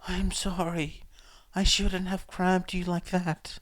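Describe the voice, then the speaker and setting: A man voicing a ragged, scarred mother, apologetic and awkward, with a hoarse, cracked, halting voice in an audiobook story. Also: high voice, little pitch movement, fairly bright tone, no echo, pitched above the performer's own voice.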